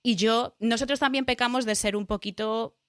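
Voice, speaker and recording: A woman's voice: a little high, steady tone, normal speed, a bit bright, clear, resonant tone, no echo, studio quality. A middle-aged woman from Spain with a Spanish accent, chatting as a podcast guest, talking in a loose way full of restarts and fillers. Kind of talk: casual interview chat.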